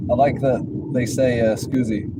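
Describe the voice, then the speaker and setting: A man driving for a rideshare show, chatting casually with the passenger beside him. His talk is joking and rambling, full of questions, and he sits back from the mic. Road noise hums clearly under everything. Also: medium pitch, steady tone, moderate pace, distant mic. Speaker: rideshare driver and show host. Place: inside a car.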